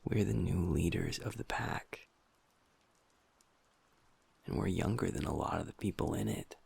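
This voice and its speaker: A man performs a young werewolf who has become his pack's second in command, low-pitched and heavily gravelly. His voice stays breathy and husky, close to a whisper. He swears freely and keeps blaming himself, in the style of an intimate audio roleplay. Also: a little slow, exaggerated voice. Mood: reflective. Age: young adult. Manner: soft, hushed, swearing, self-critical.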